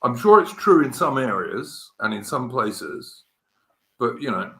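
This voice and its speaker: Bright, halting English man in his fifties, echoey room. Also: medium pitch, sing-song. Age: 50s. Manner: halting, many fillers and restarts. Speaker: podcast host. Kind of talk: casual political chat.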